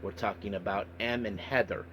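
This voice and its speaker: A man hosting a podcast monologue, recapping and commenting on events in a halting way with repeated filler phrases. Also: on the low side, fairly even intonation, a little hiss or hum. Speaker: wrestling podcast host.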